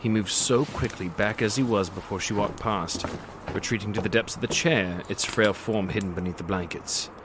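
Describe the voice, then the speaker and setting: An actor playing a gloating predatory monster who narrates a dark fantasy audio drama in a theatrical, savoring, sardonic manner. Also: male voice, lowish voice, normal speed, noisy background, close to an everyday voice. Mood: ominous, savoring.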